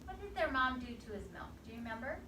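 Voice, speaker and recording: A woman's voice: high-pitched, big pitch swings, fast talker. A woman teacher going through a picture book with young children, asking questions and repeating answers. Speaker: preschool or kindergarten teacher. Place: indoor classroom. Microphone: camera a few metres away, voice through face mask. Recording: echoey classroom, distant mic, clear background noise.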